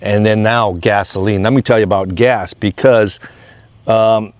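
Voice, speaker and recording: A man's voice: on the low side, steady tone, measured pace, no echo. An American man from Hawaii giving a casual, unscripted homesteading update in a plain-spoken, rambling way. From Hawaii, United States. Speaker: off-grid homesteading vlogger.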